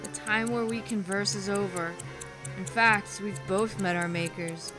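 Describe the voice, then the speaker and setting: A woman's voice: medium pitch, steady tone, a little slow, music in the background, lightly acted, poor audio. A woman playing a sardonic, theatrical villainess with a grandly dramatic, mocking manner. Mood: grandly menacing. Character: sardonic, theatrical female villain, breezily menacing.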